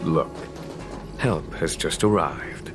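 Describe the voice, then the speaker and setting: An actor playing a shrewd, composed general in a video game, speaking in a measured, courtly, self-assured way. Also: male voice, very deep voice, over loud music. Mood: smooth, confident. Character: composed, shrewd, genial male army general. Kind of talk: dramatic video game voice acting.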